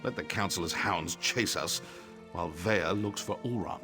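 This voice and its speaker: An actor playing an earnest, protective conspirator in a fantasy video game, speaking with pointed conviction. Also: male voice, deep voice, moderate pace, music in the background. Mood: explaining, firm. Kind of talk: video game character acting.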